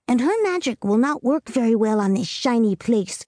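A woman's voice: on the high side, lively intonation, moderate pace, warm tone, no room sound, clean studio sound, voice pushed up, natural voice. A woman reading a storybook, voicing an earnest, commanding little girl who lays down rules. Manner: earnest, commanding, a bit solemn. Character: bossy imaginative little girl Amy.

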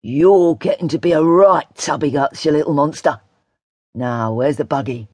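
Casting: female reader as a sarcastic British lad in his teens, indignant and slangy, slightly rough-voiced and close, dry sound, audiobook. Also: low-pitched, moderate pace, dark tone, no echo. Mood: casual. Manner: offhand, sardonic, slangy.

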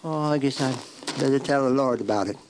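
A man's voice: mid-range voice, quick pace, natural voice. Folksy, devout old man, played by an actor, noisy background. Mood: hopeful, trusting. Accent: rural American. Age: older. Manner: folksy, homespun, earnest. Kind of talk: children's radio drama acting.

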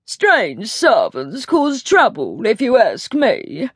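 A woman's voice: fairly high pitch, wildly swooping pitch, takes it slowly, breathy edge, no echo, studio quality, exaggerated voice. A woman voicing a male footman who is affected, mincing and contemptuous, in storybook narration. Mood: sneering, affected.

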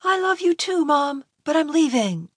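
A woman's voice: high-pitched, very animated, normal speed, no room sound, studio quality, voice pushed up. A woman voicing an anguished, emotional young man pleading with and defying parents in an audiobook story. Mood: hurt, resolute.

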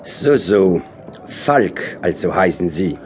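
An actor playing a composed French general in a radio play, speaking measured, cultivated German with a slight French accent. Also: male voice, deep voice, very animated, a little mellow, slight background noise, full character voice. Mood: suave, probing. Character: courtly, composed short stout French general.

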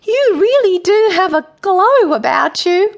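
A woman's voice: very high voice, big pitch swings, slow, a bit bright, pitched above the performer's own voice, heavily acted. A woman voicing a nervous, over-polite young boy pufferfish in a children's story, fumbling and eager to please. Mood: trembling flattery.

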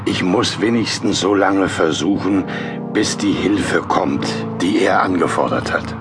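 A grave older church elder in a radio drama, with a very deep voice and slow, deliberate delivery. His pitch moves widely as he speaks. A loud musical score plays behind him. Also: music in the background. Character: aging church elder, solemn and burdened. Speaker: voice actor. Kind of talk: radio play acting.

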